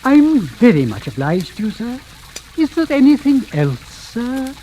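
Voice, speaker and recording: Scheming male valet, deferential, insinuating, soft mellow tone, lilting delivery, old noisy radio drama recording. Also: very high voice, sing-song, a little slow, heavily acted. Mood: insinuating, polite. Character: servile, calculating male valet blackmailing his master. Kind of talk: 1940s radio acting.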